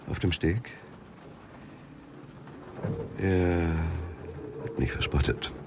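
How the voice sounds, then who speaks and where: An actor playing a middle-aged, proud aristocratic suspect in a German radio drama, terse and indignant, halting when pressed. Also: male voice, rumbling low, noisy background, full character voice. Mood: grudging regret.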